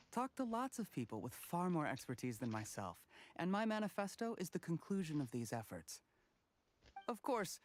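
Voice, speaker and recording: A man's voice: on the high side, a little hiss or hum. An actor playing a polite young male candidate in a game, answering questions in a composed, slightly nervous way.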